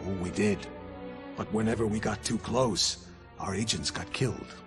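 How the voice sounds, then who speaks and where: Actor as low-voiced, ominous American intelligence official. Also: male voice, normal speed, over music, lightly acted. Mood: grave, ominous. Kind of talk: scripted video game cutscene dialogue.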